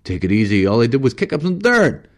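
A man's voice: mid-range voice, big pitch swings, fast, voice pushed up. Man voicing a practical, level-headed safari assistant. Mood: calming. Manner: matter-of-fact, reasoning.

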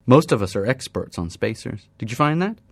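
A man reading the part of a young woman student with a Turkish accent, speaking softly, politely and a little hesitantly. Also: mid-range voice, animated, fairly quick, creaky, no room sound, voice pushed up. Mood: wistful. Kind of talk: audiobook character voice.

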